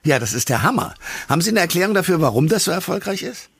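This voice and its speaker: A man hosting a radio talk show, interviewing in a conversational style with a husky voice. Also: high voice, big pitch swings, quick pace, fairly bright tone, no room sound.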